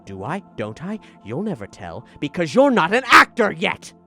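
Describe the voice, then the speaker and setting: Guy as a scornful theatrical acting coach, bossy, sharp voice jumping around, music behind. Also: on the high side, huge pitch swings, moderate pace, heavily acted. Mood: scornful, commanding.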